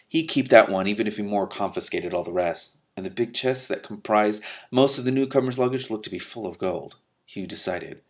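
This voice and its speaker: Low-voiced man reading a novel aloud. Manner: breaks off to react candidly, with swearing. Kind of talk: expressive book reading with casual reactions.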